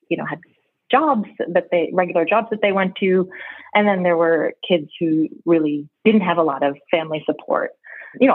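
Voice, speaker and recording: A woman's voice: lowish voice, no echo. A woman in her thirties from Brooklyn with an American accent, a guest in a conversational interview, talking thoughtfully and laughing easily. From New York City, Brooklyn. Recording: tinny phone-like call line.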